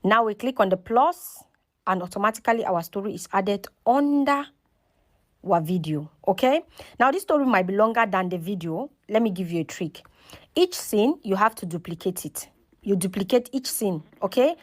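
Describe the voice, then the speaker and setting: A woman's voice: medium pitch, animated, moderate pace. Woman doing a casual how-to, walks you thru each step, keeps saying okay and right. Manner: instructional, often checks in with okay and right. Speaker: tutorial host. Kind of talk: casual step-by-step screen tutorial.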